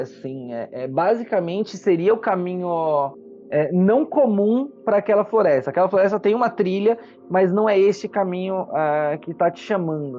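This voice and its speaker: A man's voice: high-pitched, dull audio. A man with a Brazilian accent narrating a tabletop fantasy game as game master, in an explanatory storytelling manner. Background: background music.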